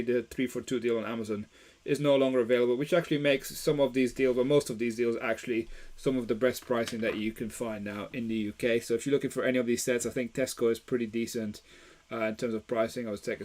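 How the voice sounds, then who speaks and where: A man from Belgium hosts a casual live stream, walking viewers through deals in an easygoing, unscripted way. His voice sits at an ordinary middle pitch, and he often pauses on fillers and restarts his sentences. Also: a little mellow. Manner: chatty, many fillers and restarts.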